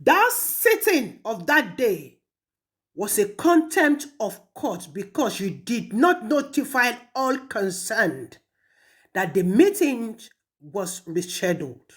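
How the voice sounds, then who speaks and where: Slow, animated Nigerian woman, stern and admonishing. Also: on the high side. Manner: stern, deliberate, rhetorical repetition. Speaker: speaker addressing a traditional ruler in a recorded message. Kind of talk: admonishing recorded audio message.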